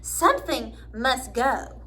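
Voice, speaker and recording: A woman voicing an excitable, fussy rich gentleman from a children's storybook in a theatrical, exclamatory way. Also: a little high, slow, slight background noise, heavily acted. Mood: dismayed.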